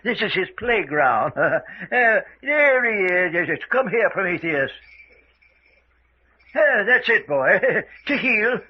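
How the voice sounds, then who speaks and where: An actor playing a fussy, sinister gentleman scientist in an old-time radio mystery, chuckling, repeating his words and condescending coolly. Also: high-pitched, sing-song, slow, breathy edge, exaggerated voice. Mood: jovial, chuckling. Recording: dull, lo-fi old radio recording.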